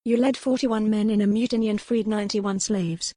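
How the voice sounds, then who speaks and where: A woman's voice: medium pitch, fairly even intonation, fairly quick, warm tone, no echo, close to an everyday voice. A woman voicing a strong-willed captive noblewoman in an audiobook, formal, pointed and challenging. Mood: solemn, resolute. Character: defiant, sharp-witted captive noble lady, strong-willed. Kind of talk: audiobook character dialogue.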